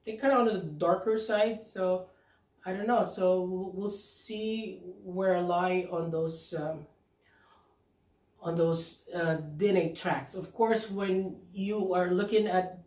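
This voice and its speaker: A man from the Philippines co-hosting a casual couple's vlog, talking in a halting, easygoing way and laughing often. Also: high-pitched, fairly even intonation, a little mellow. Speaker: vlog co-host. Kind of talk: casual chat. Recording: echoey room, mic at a distance.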